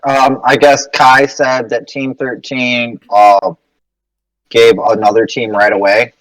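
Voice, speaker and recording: A man's voice: mid-range voice, level tone, slow pace, fairly bright tone, far from the mic, poor audio. A man bantering and teasing bluntly in a voice chat. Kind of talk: casual banter.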